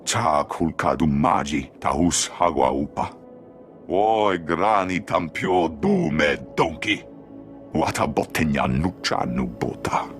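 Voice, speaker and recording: A very deep male alien talking in an invented tongue in a sci-fi game. The speech is slow but swings widely in pitch, over music. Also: expressive, full character voice. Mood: animated. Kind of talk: video game voice acting.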